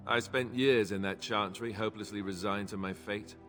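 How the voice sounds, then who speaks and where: An actor playing a young, earnest and wry warrior knight in a video game, politely spoken. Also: male voice, on the low side, steady tone, moderate pace, natural voice. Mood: earnest, reflective. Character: young Templar-trained Grey Warden, earnest, wry. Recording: long reverb, music behind. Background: game music.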